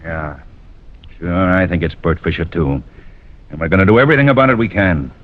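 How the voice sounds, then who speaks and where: A man's voice: deep voice, fairly quick, dull audio. A man playing a firm, by-the-book police captain in an old-time radio drama, guarded and matter-of-fact. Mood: grim, resigned. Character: firm, by-the-book male police captain, weary but decent. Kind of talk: 1940s-50s radio drama acting.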